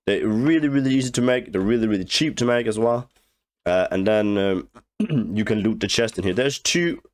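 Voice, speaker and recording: A young man with a head cold talks through a video game walkthrough. His voice sits a bit low and sounds rusty and congested. He speaks in a loose, halting way, full of fillers and pauses to clear his throat. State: hoarse with a cold, blocked nose. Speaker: game guide creator. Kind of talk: casual gameplay walkthrough commentary.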